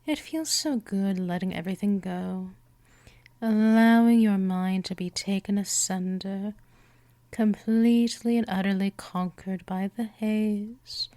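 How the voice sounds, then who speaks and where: A seductive immortal vampire mistress, played by a woman in an intimate audio roleplay. She speaks very slowly at a middle pitch, teasing and coaxing her prey with gentle dominance and dropping often into breathy whispers. The sound is close and dry. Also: no echo, close to an everyday voice. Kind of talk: scripted hypnosis roleplay, intimate ASMR.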